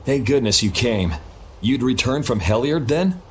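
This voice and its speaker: A man's voice: medium pitch, expressive, measured pace, a little hiss or hum, close to an everyday voice. A man playing a blunt, determined young adventurer in a video game, casual and direct. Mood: surprised.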